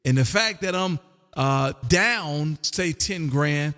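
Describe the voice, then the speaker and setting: An older man around sixty talks in a fairly high-set voice with long reverb around it. He chats in a folksy, rambling way as an amateur radio host, often addressing his listener as "man". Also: slow pace, a bit bright, faint music behind. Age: older, about 60. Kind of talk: casual rambling radio chat. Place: home radio room. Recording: long echoing room reverb.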